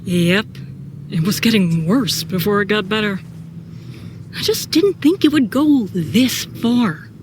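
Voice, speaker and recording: A woman's voice: mid-range voice, lively intonation, slow pace. Woman as troubled sci-fi soldier narrator, noisy ambience. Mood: anxious. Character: troubled, brooding sci-fi soldier recounting missions. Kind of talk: first-person audio drama narration. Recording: clear background noise and ambience.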